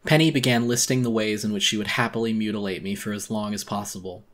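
A man narrating a horror story as a dramatic audiobook reading, intense, theatrical and deliberate. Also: fairly low pitch, steady tone, a bit bright.

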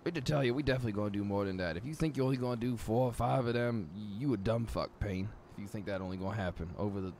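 A man's voice: fairly low pitch, rapid-fire, few pauses, a little mellow. A man streaming a role-play game, chatting casually with profane, teasing banter. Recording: game car and street noise.

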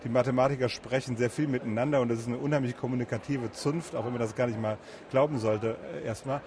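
A man's voice: medium pitch, steady tone, quick pace, background noise. A man giving informal, spontaneous answers as an interview guest. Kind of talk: casual spoken interview answers. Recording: busy crowd at an event.

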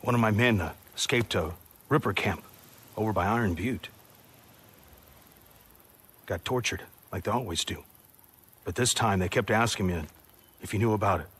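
An actor playing a weary, resentful camp work boss in a video game cutscene, blunt and argumentative. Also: male voice, on the low side, moderate pace, long pauses, fairly bright tone, slightly rough. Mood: grim, accusing.

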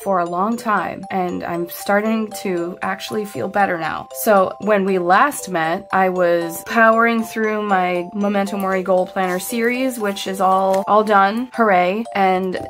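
A woman vlogging as she reviews her planner, chatty and self-deprecating, thinking aloud, her voice slightly hoarse after being ill. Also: mid-range voice, fairly even intonation, a little slow, hardly any pauses, soft background music. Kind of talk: casual chat, plan with me.

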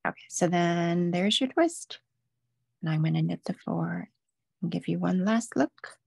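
A woman's voice: on the low side, lively intonation, a little slow, gravelly, darkish tone, breathy edge, no echo, studio quality. A woman patiently walking viewers step by step through knitting stitches in a live online demo. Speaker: knitting instructor.

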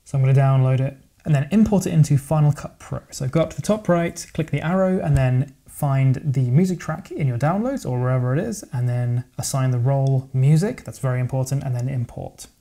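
A man narrating a software editing tutorial in a relaxed, chatty way, thinking aloud as he goes. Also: mid-range voice, brisk, few pauses. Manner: casual, explanatory, thinks aloud with verbal sound effects. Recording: voice-over with music playing underneath.

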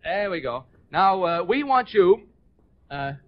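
An American man hosting a live 1940s radio game show with excitable, hurried patter from the stage. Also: high-pitched. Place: on stage before a studio audience. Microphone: stage microphone. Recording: dull 1940s radio recording.

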